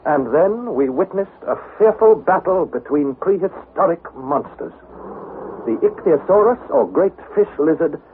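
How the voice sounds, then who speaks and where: Animated, earnest explorer narrator. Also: male voice, a little high. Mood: dramatic recounting. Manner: measured, dramatic storytelling. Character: earnest young male explorer recounting his expedition. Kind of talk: scripted vintage radio drama narration. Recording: tinny, hissy vintage radio broadcast.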